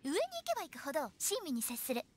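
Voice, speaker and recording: An actress playing a playful, teasing young funeral director in an anime game, with theatrical, sing-song sales talk. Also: female voice, high voice, big pitch swings, fast talker, a little hiss or hum. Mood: persuasive. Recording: clean studio voice over game music.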